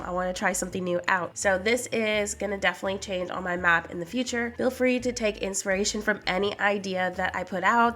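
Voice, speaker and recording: A young woman with a cold talks casually over her own gameplay, her voice stuffy and congested. She sits at a middle pitch and explains as she goes, chatty and relaxed, dropping "like" and "uh" along the way. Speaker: gaming channel host. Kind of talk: casual voice-over commentary on a building game.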